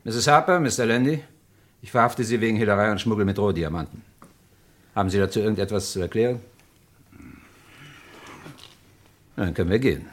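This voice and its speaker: An actor playing an unhurried, matter-of-fact police inspector who gives calm, clipped orders in a radio crime drama. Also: male voice, fairly low pitch, natural voice. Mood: stern, official. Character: unhurried male police inspector, calmly in command.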